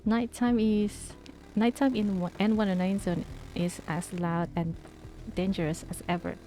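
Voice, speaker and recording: Woman, streamer, mellow warm tone, reads game narration, casual chat, faint music behind. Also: mid-range voice, steady tone, measured pace. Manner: casual, thinking aloud with fillers. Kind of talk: reading game text aloud and chatting with viewers.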